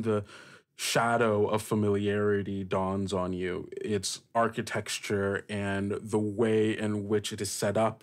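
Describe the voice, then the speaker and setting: A man hosting a tabletop roleplaying game as game master, improvising descriptive narration and putting questions to the player. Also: fairly low pitch.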